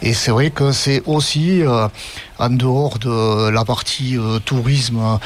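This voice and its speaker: A man with a middle-range voice answers interview questions as a local resident. He is relaxed and fills the gaps between phrases with hesitations, over faint background ambience. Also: mid-range voice, takes it slowly, slight background noise.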